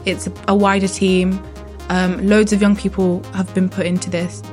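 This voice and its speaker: A woman's voice: medium pitch, level tone, moderate pace, a little mellow, soft background music. A British woman from a podcast group, chatting enthusiastically and thinking aloud. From Britain. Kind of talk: casual reflective chat.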